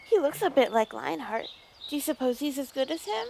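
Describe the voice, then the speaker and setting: A woman voicing an excited little kitten with a squeaky, awed manner. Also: brisk, creaky, slight background noise, full character voice. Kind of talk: fan audiobook voice acting.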